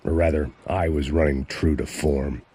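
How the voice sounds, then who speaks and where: An actor playing a cynical, middle-aged American ex-cop with a low gravelly voice, delivering deadpan noir lines in a video game. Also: male voice, normal speed. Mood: weary, sardonic. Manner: deadpan, fatalistic. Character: world-weary, cynical, alcoholic middle-aged male ex-cop bodyguard. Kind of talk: noir scripted narration and game dialogue.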